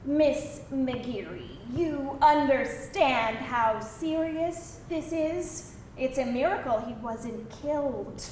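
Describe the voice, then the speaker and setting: An actress playing concerned, measured women, a neighbour and a caseworker, in a live screenplay table read. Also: female voice, sits high, a little mellow. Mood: firm, sympathetic. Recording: very echoey live room, faint noise.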